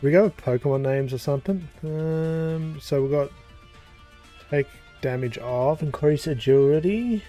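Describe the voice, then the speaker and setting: Slow, mellow-voiced gaming commentator. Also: male voice, a little high, faint music behind. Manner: thinking aloud, unhurried, with fillers. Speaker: gaming YouTuber. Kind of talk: casual let's-play commentary.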